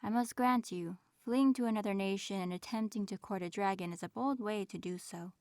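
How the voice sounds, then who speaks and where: A woman's voice: medium pitch, darkish tone, dry recording, close to an everyday voice. Woman acting a proud, formal dragon lady, dry close sound. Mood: aloof, reserved. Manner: formal, measured, aloof diction. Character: proud, reserved female dragon in human form. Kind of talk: audio roleplay acting, addressing the listener.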